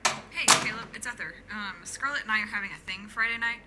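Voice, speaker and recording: A woman's voice: medium pitch, brisk, natural voice. A woman playing a concerned, helpful friend on a call in an audio drama, speaking casually. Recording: loud noise and clicks, quiet call audio.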